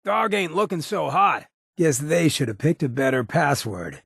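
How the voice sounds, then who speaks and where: A watchful, roguish ghoul companion in a video game. His husky voice moves with lively pitch in clipped, streetwise phrases, recorded dry and close. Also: moderate pace, no room sound, exaggerated voice. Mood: alert, wry. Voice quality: raspy.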